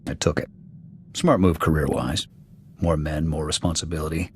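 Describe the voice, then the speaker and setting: Deep, gruff American military officer. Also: male voice, steady tone, normal speed, slight background noise. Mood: matter-of-fact, resolute. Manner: clipped, blunt military delivery. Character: gruff, proud alien Cathar military officer, blunt veteran sniper. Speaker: soldier serving as second to his commander. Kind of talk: video game dialogue acting. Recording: background music under the dialogue.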